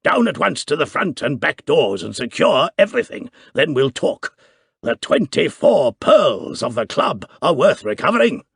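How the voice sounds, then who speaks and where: A man voicing a stiff old colonel with a raucous, harsh voice and a slow, rigid delivery. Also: a little high, big pitch swings, vocal fry, fairly bright tone, slightly rough, no room sound, studio quality, voice pushed up, full character voice. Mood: commanding.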